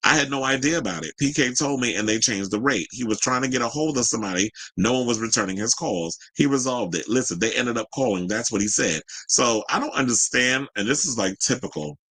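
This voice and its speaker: A man with an American accent and a bright, punchy voice hosts a celebrity gossip show. He reads out the news, then drops into casual, exclamatory commentary with sassy, colloquial phrasing. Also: medium pitch, fairly quick, few pauses, rough recording. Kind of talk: casual gossip commentary mixing read-out news with ad-libbed asides.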